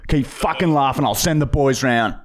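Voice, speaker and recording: A man's voice: medium pitch, normal speed, voice pushed up, close to an everyday voice. Man putting on an aggressive, sweary Australian police constable. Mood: threatening. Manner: menacing, sweary, insistent. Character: aggressive, foul-mouthed male police constable bullying a suspect. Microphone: close studio boom mic with headphones.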